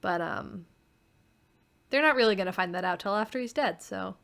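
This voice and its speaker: A woman's voice: medium pitch, normal speed, vocal fry, fairly bright tone. A woman co-hosting a podcast, talking casually about a radio play. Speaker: podcast co-host.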